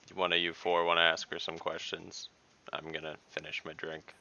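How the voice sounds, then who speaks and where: Deep, gravelly man at a game table. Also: monotone, normal speed, pushed and bright, muffled recording. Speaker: player in online tabletop game. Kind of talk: casual game-table talk.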